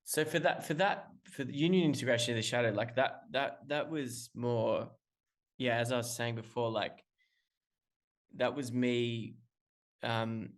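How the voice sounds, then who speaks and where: A man's voice: medium pitch, fairly even intonation, measured pace. Hesitant, rambling man calling in. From Australia. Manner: rambling, hesitant, many restarts and fillers. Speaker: musician guest. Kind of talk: casual interview talk. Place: calling in remotely.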